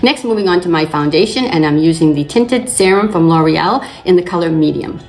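An older woman hosting a beauty channel, slightly raspy from allergies, calmly explaining each makeup step in front of a fixed camera. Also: on the low side, fairly quick, faint music behind. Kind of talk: makeup tutorial narration. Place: indoors in front of a plain backdrop.

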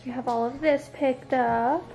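A woman's voice: high voice, steady tone, unhurried, creaky, over music. A young woman filming a casual cleaning vlog in her family home, chatting to the camera and to her small kids. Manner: chatty, talks to her toddler and baby. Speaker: vlogger. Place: family house, kitchen and living room. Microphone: handheld camera, often held close.

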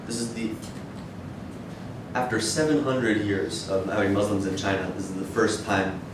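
A man in his thirties giving an academic lecture in a measured, hesitant way. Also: fairly even intonation. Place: dim wood-shelved seminar room with audience, indoors. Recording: echoey room, distant mic, noisy rumble.

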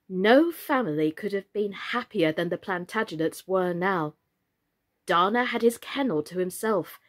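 A woman in her thirties reading a children's story expressively, in a measured and deliberate way. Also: medium pitch. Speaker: storyteller, actress. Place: small furnished room indoors.

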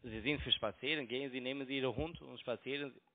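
A man's voice: medium pitch, quick pace, crowd noise. Foreign-accented man on a tinny phone line. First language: not German. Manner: broken German, repeats phrases insistently. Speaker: phone broker and scam caller. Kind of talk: argumentative phone conversation. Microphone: telephone.

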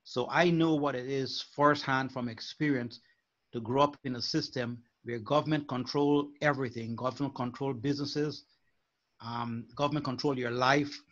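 An older man from Guyana, a life coach, speaking earnestly and in a measured way as a guest on a video call. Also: mid-range voice, fairly even intonation, fairly bright tone. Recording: muffled video call audio.

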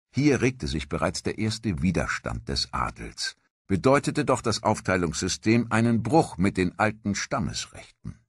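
Male documentary narrator, very low and husky, for scripted history narration. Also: a bit bright, dry recording, studio quality.

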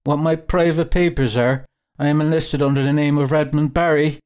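A man voicing a proud, hot-headed young gentleman adventurer in an audiobook, haughty and indignant. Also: fairly high pitch, moderate pace, voice pushed up, natural voice. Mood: haughty, defiant. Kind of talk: audiobook character dialogue.

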